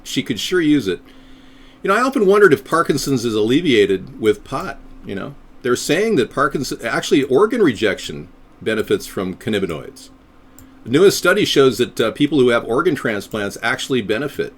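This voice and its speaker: Animated, sardonic man rambling on a live stream. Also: mid-range voice. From United States. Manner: rambling, sardonic, slips into silly voices. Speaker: third-party candidate hosting a live stream.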